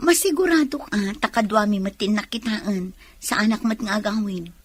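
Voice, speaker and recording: A woman's voice: medium pitch, expressive, normal speed, slightly rough, dry recording. A woman playing a feisty, protective older-sister type in a comedic radio drama, chatty and plain-spoken. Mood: conversational.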